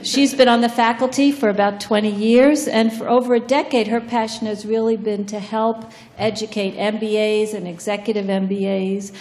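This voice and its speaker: A woman's voice: medium pitch, steady tone, normal speed, few pauses, darkish tone. Woman presenting in a reverberant lecture hall. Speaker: nursing school dean introducing a panel. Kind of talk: panel introduction and short talk. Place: large lecture room.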